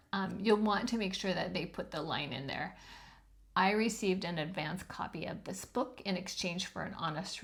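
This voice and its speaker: A woman over fifty with a high-pitched voice, giving frank, chatty advice on self-publishing with plenty of ums. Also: gravelly. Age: 50s or older. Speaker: channel host teaching self-publishing. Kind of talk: casual instructional talk to camera.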